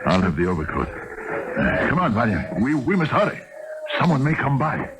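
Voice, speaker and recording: An actor playing a defiant, hot-tempered young drifter turned killer in a radio drama, gruff, impatient and bossy. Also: male voice, mid-range voice, sing-song, moderate pace. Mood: grim, hurried. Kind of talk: old-time radio drama acting. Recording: noisy lo-fi 1970s radio broadcast.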